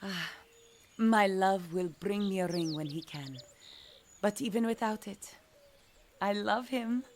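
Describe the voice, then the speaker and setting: An actress playing a devoted, teasing young betrothed woman in an audio drama, expressive and playful. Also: medium pitch, slow pace, long pauses, a little hiss or hum. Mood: firm, teasing.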